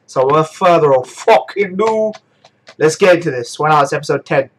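A young man with a hoarse, flu-worn voice, casually reacting to anime episodes with lots of swearing. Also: a little high, expressive, moderate pace, a little hiss or hum. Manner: casual, swears a lot, coughs now and then. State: hoarse, getting over a flu. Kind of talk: live reaction commentary. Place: small indoor room. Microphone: webcam, headphones on.

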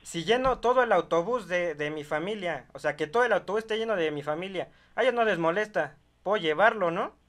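A man's voice: high voice, lively intonation, fairly quick, few pauses, a bit bright. A young man playing an insistent, grumbling older rancher in a prank call, pleading in a hesitant, folksy, repetitive way. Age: young adult. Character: insistent rural rancher, older man, stubborn, grumbling, attached to his donkey. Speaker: prank caller. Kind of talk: improvised prank phone call. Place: small bedroom studio, indoors.

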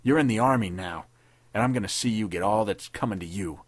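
A man playing a tough, vengeful army captain who speaks in gruff, threatening tones. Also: on the low side, brisk, no room sound. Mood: menacing.

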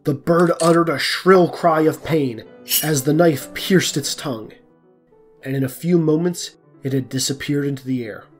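A man's voice: fairly high pitch, moderate pace, faint music behind. A man narrating a scripted folk tale as the storyteller of an audio drama.

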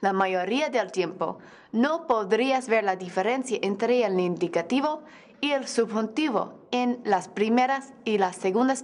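Young female teacher, on-camera studio lesson, slow and clear, slightly high voice. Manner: slow, careful explanation to learners. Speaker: language teacher. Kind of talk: scripted video lesson.

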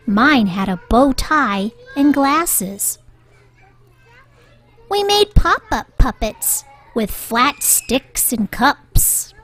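High, lively woman reading a children's story. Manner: deliberate, rhyming sing-song delivery. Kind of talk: expressive children's read-aloud narration. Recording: music bed under the voice.

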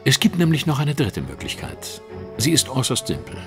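An actor playing a confident, sardonic Victorian detective in a German audio drama, polite, measured and ironic. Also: male voice, mid-range voice, huge pitch swings, music in the background. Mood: calm, menacing.

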